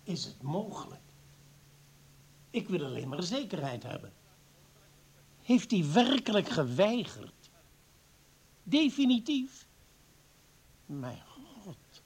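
Very slow, shaken professor. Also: male voice, sits high, big pitch swings, darkish tone, slightly rough, heavily acted. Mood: shaken, horrified. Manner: halting, solemn. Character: shaken, conscience-stricken male professor. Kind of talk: radio play acting. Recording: hissy, noisy old radio recording.

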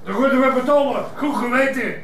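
An actor playing a jeering prison bully who goads and mocks, with a Flemish accent in a naturalistic TV drama. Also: male voice, very high-pitched, a little fast, a bit bright, a little hiss or hum. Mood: impatient, goading. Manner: mocking, goading. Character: jeering prison bully, sidekick goading a weaker man.

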